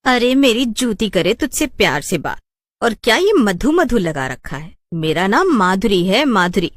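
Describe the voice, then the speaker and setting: A woman voicing a proud, sharp-tongued young village cook who scolds indignantly, in a Hindi cartoon. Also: mid-range voice, sing-song, unhurried, dry recording, clean studio sound. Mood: angry.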